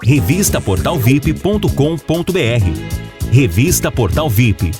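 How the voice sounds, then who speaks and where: Lively Brazilian male promo announcer. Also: medium pitch, a little slow. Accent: Brazilian Portuguese. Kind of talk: radio-style promo voiceover. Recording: voiceover over music.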